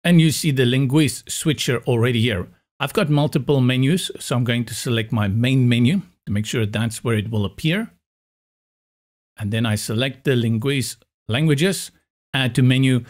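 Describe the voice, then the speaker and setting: A man in his forties whose first language is Afrikaans, hosting a web tutorial as an upbeat, enthusiastic explainer, recorded on a large studio mic in a small room. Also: medium pitch. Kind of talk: screen-recorded software tutorial. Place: small room with window blinds, indoors. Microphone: large studio mic in front of face.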